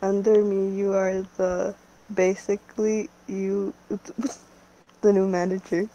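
A hesitant female company owner , voiced by a woman in a fan-made game story. Her voice is mellow, flat and unhurried. She stops and restarts often as she speaks. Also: mid-range voice, highs cut off, lightly acted. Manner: hesitant, halting speech with fillers and nervous laughs.

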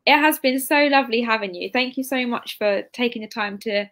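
Young woman, twenties, high-pitched voice, chatty and effusive, interview host, casual video-call chat. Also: fairly quick, fairly bright tone. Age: 20s. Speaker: interviewer, bookshop event host. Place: indoor room at home, on a video call.

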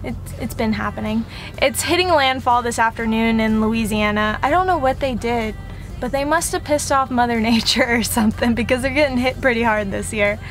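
A woman's voice: fairly high pitch, normal speed, soft background music. A young woman chatting casually and informally to the camera as a vlog host, recorded inside a truck cab. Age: young adult.

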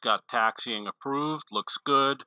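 A man's voice: medium pitch, slow pace, pushed and bright, smooth, no echo. A man giving casual, unscripted tutorial commentary on a video game in a matter-of-fact way.

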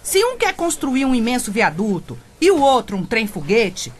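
Casting: defiant, righteous single woman, high and very animated, emphatic, radio comedy soap. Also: moderate pace. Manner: emphatic, indignant.

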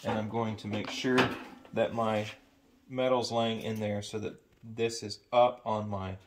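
A man's voice: lowish voice, little pitch movement, unhurried. A man, giving an unscripted hands-on how-to in short bursts while he works. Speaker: HVAC sheet metal tradesman demonstrating. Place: large indoor warehouse workshop. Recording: loud workshop noise, metal clanking and clicks.

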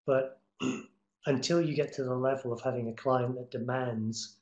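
A man's voice: medium pitch, steady tone, measured pace. A middle-aged man giving students casual, encouraging career advice on an online call, with lots of fillers and restarts. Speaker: guest speaker, TV promo editor. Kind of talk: online talk answering students' questions. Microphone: webcam on video call. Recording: echoey room over video call.

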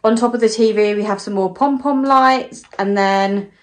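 A young British woman giving a casual tour of her house, chatty and filling pauses with "so" and "um" as she walks from room to room. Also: medium pitch, far from the mic. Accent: British English. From United Kingdom. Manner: chatty, apologetic asides, frequent "so" and "um". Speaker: home tour vlogger. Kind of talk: casual walkthrough narration. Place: small house, indoors, walking room to room. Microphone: handheld phone camera. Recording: roomy sound, voice away from mic.

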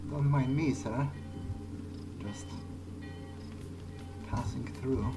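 Male gamer, mid-pitched, role-playing out loud to himself over loud game music. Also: extremely slow. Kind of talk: in-character game playthrough commentary, talking to self.

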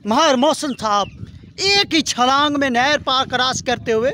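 A man's voice: extremely high pitch, unhurried, bright, forceful tone. A man playfully commentating his friends' jumps outdoors by a canal, teasing and laughing. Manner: teasing, laughs often, exclaims wah. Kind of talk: playful mock sports commentary.

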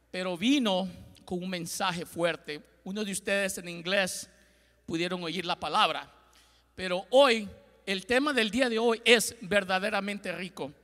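A man's voice: high voice, a bit bright. A middle-aged man from Ecuador preaching a sermon on a large church stage into a handheld mic, calling for responses from the congregation. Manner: preaching with call-and-response, gesturing and pacing the stage. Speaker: pastor. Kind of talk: Spanish-language church sermon. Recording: long hall reverb.

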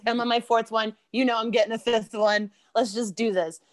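A woman's voice: on the high side, normal speed, dry recording, studio quality. A woman with an American accent, a podcast guest chatting casually and laughing often as she tells stories. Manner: laughs often, animated storytelling. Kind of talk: casual chat.

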